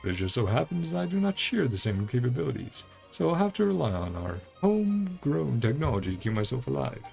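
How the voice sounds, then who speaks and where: A grandiose treasure hunter from a video game, acted out by a man, declaiming in florid, courtly phrases. The voice is very gravelly and swings expressively up and down, eager and flattering, with music playing underneath. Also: mid-range voice, a little fast. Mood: gallant, flattering. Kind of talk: game dialogue read aloud in character.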